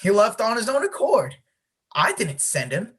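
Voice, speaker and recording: A woman's voice: on the high side, wildly swooping pitch, moderate pace, slightly rough, heavily acted. A woman playing a beleaguered, folksy small-town man in charge of a solemn tradition, in an amateur play acted over video call. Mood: bitter, pleading. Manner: folksy, exasperated, officious. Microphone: video call microphone.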